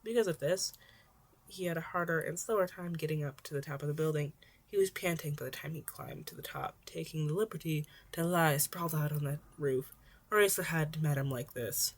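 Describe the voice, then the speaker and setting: A woman's voice: low-pitched, fairly quick. A woman narrating fan fiction in an amateur audiobook style, now and then stumbling and rereading words.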